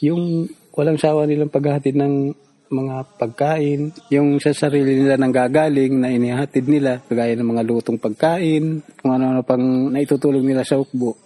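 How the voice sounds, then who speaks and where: Husky, mellow man. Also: medium pitch, steady tone, normal speed. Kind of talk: spoken testimonial.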